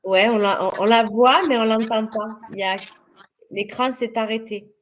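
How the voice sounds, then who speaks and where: A woman's voice: on the high side, normal speed, crowd noise. A woman from France speaking on a video call as a webinar panelist, insistent and emphatic, hammering points home with repetition. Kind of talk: passionate webinar discussion.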